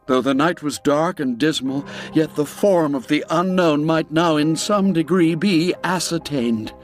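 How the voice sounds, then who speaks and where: Slow, theatrical male storyteller over music. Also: a little high. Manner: measured, theatrical, suspenseful delivery. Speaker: audiobook narrator. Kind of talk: dramatic scripted story narration.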